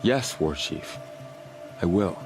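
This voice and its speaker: Deep-voiced loyal young warrior. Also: male voice, expressive, takes it slowly, over music, exaggerated voice. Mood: earnest. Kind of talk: video game dramatic dialogue.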